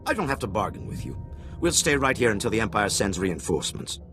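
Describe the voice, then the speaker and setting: An actor playing a defensive, self-justifying official in a video game, with an indignant, curt manner. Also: male voice, lowish voice, sing-song, quick pace, fairly bright tone, soft background music. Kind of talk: video game cutscene acting.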